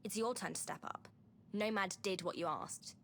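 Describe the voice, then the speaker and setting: An actress playing a bold, sharp-witted young girl in a video game. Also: mid-range voice, normal speed, gravelly, slight background noise. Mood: insistent. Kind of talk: video game cutscene acting.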